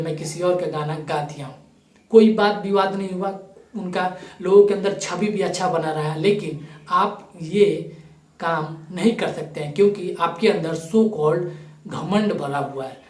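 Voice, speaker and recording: A man's voice: a little high, a little mellow. A man giving opinionated video commentary to viewers in an emphatic, accusatory manner. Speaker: YouTube commentator. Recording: roomy echo, distant microphone.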